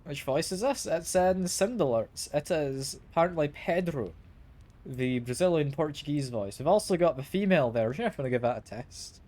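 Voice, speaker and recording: A man's voice: fairly high pitch, expressive, normal speed, darkish tone, a little hiss or hum. A man streaming a video game, slightly ill and congested, chatting casually and often repeating words as he plays. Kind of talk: casual gameplay commentary. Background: game music.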